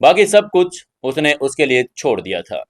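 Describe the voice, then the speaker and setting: A man's voice: on the high side, big pitch swings, normal speed. A man narrating a scripted dramatic audio story.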